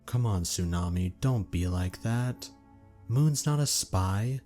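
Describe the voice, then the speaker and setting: A man playing a gentle, good-natured young male dragon in an audio drama, earnest and placating. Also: lowish voice, animated, slow pace, faint music behind.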